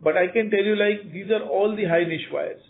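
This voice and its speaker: A man's voice: high voice, steady tone, normal speed. A man with an Indian accent answering analysts as a company executive on an earnings call, emphatic, repeating words and often saying "you know". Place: conference call over phone line. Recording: narrow tinny phone-line audio.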